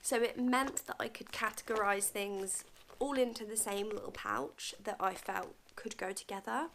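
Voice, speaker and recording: Chatty woman, slight vocal fry. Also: mid-range voice, normal speed, fairly bright tone, slight background noise. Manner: chatty, friendly, direct to camera. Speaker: vlogger. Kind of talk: casual advice vlog. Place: bedroom at home, indoors.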